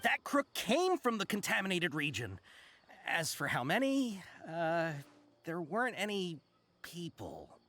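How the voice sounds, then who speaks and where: A man's voice: high voice, wildly swooping pitch, measured pace, pushed and bright, heavily acted. A man playing an anxious, deferential caravan merchant in a video game, hesitant, apologetic and eager to please. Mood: nervous, shaken. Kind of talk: video game character acting.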